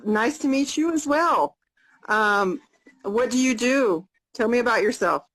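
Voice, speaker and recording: An American woman in her fifties hosting a casual live video chat, upbeat and repeating her questions slowly and plainly. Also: a little high, animated, fairly bright tone, low-quality recording. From United States. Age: 50s.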